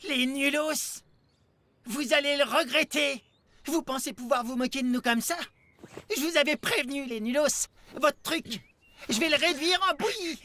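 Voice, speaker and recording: An actor playing a cocky, mean bully boy in an animated cartoon, mocking and threatening. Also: sits high, moderate pace. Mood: alarmed, furious.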